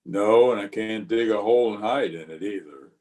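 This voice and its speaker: An actor playing a weary, watchful Old West marshal in a radio western, speaking and narrating in a measured, terse, grave way. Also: male voice, on the low side, a little mellow, natural voice. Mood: watchful, dry. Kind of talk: old-time radio western acting with first-person narration.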